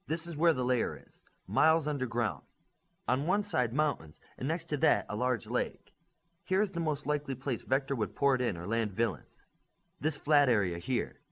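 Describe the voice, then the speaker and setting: Man voicing a commanding superhero squad leader, animated pitch. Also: moderate pace. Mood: firm, instructive. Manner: emphatic, briefing-style delivery. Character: young male superhero squad leader, commanding, earnest, brisk. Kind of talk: audiobook character dialogue.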